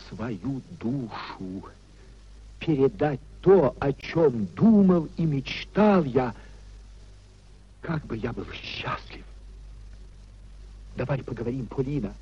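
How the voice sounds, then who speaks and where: An actor playing an anguished, idealistic young husband in a 1950s Russian radio play, pleading and declaiming theatrically. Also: male voice, medium pitch, lively intonation, slow, long pauses, mellow, dull audio. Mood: earnest pleading. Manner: theatrical, declamatory, repeating phrases emotionally.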